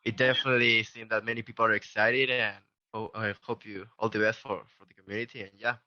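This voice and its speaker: A man gives casual good wishes as a guest. His voice is very sharp and slightly low, through dull, dry call audio. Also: little pitch movement, fast, dry recording. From Latin America. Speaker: community organiser guest. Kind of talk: casual congratulatory remarks.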